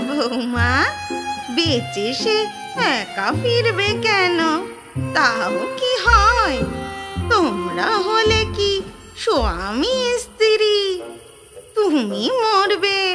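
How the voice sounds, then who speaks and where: A menacing, mocking ghost woman whines through her nose in an extremely high, wildly swooping voice. She drags out her taunts for an audio ghost story, with loud music behind her. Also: sing-song, heavily acted. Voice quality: nasal. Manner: slow, taunting drawl.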